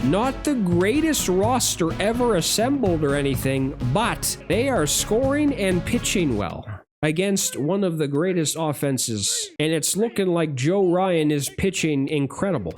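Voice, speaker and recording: A young man, hoarse from shouting, giving excited play-by-play of a baseball video game with swearing and running commentary. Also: a little high. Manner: animated, swears, thinks aloud between plays. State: losing his voice. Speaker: gaming YouTuber. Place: small booth at home. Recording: game music playing behind.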